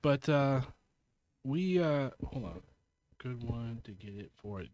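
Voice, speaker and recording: A man's voice: medium pitch, expressive, slow pace, a little mellow. A man co-hosting a podcast, easygoing and enthusiastic in casual chat. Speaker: podcast co-host.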